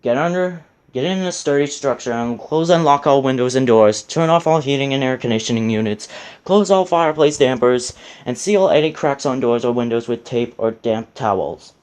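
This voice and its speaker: A man playing a solemn government leader, giving a scripted emergency address in an earnest, halting amateur read. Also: mid-range voice, darkish tone, clear, resonant tone, natural voice. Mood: grave, urgent.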